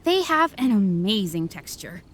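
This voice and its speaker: A woman's voice: mid-range voice, sing-song, measured pace, slight background noise. A woman voicing an attentive, cheerful young waitress in a video game, politely presenting dishes like specialties for sale. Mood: proud, helpful. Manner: polite, descriptive, sales-like. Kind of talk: video game character acting.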